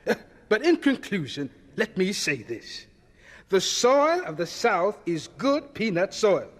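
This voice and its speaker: An actor playing an elderly, humble Southern scientist in an audio drama, with a hesitant, courteous and earnest manner. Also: male voice, high voice, big pitch swings, unhurried, exaggerated voice. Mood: fumbling, courteous.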